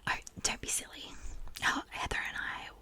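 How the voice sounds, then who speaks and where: A woman's voice: normal speed, natural voice. A woman voicing a friendly, welcoming teenage girl classmate from a storybook, in a whisper.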